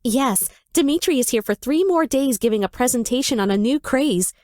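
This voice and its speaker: A woman's voice: sits high, animated, moderate pace, darkish tone, no echo, clean studio sound. A woman playing a polite, helpful casino front desk receptionist in a visual novel, with courteous customer-service manners.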